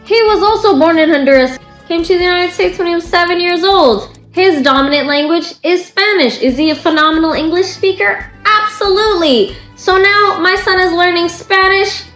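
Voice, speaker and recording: Chatty high-voiced young mom, echoey room. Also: female voice, a bit bright. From Bangladesh. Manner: chatty, tags lines with "okay?", jumps high to quote her toddler. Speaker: mom vlogger. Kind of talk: casual chat to camera. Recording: echoey room with faint music.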